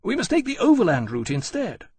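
A man's voice: fairly high pitch, sing-song, fairly quick, husky, voice pushed up. A man voicing a hot-headed, urgent British military officer in an audiobook, arguing emphatically in period-formal speech. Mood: impatient, demanding.

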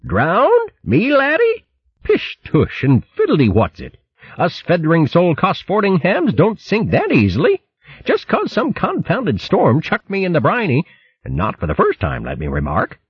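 A man's voice: high voice, huge pitch swings, unhurried, husky, voice pushed up, heavily acted. A man voicing a jolly, boastful male hare who blusters with old-fashioned exclamations. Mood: blustering cheer. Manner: hearty, blustery, full of "what, what" bluster. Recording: muffled lo-fi recording.

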